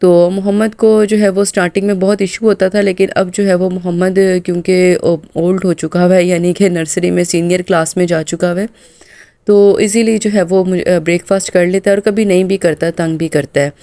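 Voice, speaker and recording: A woman in her thirties from Pakistan with a hoarse, sore throat, narrating her daily home vlog in a chatty, loose way full of filler phrases. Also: lowish voice, fairly even intonation, a little fast, dark tone, dry recording. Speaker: mom vlogger.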